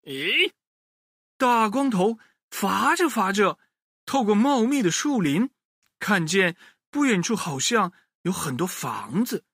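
Male storybook narrator for kids: unhurried, bright, highly expressive delivery. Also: husky, no room sound, studio quality. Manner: expressive, addressing child listeners directly. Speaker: children's storyteller. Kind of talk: animated storybook narration for young children.

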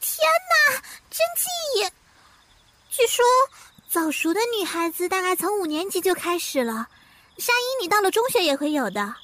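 A woman's voice: very high-pitched, very animated, normal speed, fairly bright tone, slight background noise, heavily acted. A woman playing a bossy, precocious schoolgirl of about ten in a radio drama, knowing and exclamatory. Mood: smug, teasing. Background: music.

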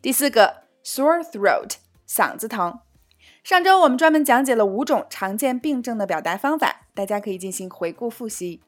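A woman who is a native Mandarin speaker presents a language lesson in an upbeat, scripted manner. Her voice sits a little high. Soft music plays faintly behind her. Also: animated, normal speed. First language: Mandarin Chinese. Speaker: host and language teacher.

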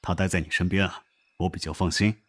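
A man playing a calm, protective middle-aged royal father in an amateur Chinese costume audio drama, measured and reassuring. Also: deep voice.

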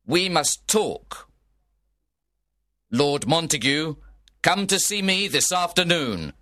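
A man voicing an angry prince who gives orders slowly and firmly. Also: a little high, very animated, sharp tone, pitched above the performer's own voice, exaggerated voice. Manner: commanding, slow and emphatic. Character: angry stern male prince ruler.

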